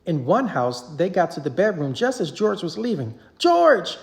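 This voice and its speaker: A parent reading a children's story aloud in an animated storybook narration style. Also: medium pitch, normal speed.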